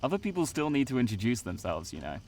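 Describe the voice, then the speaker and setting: A man's voice: medium pitch, very animated, fast talker, gravelly, darkish tone. An actor playing a grumpy, reluctant 21-year-old male student in an audio drama, dry and exasperated.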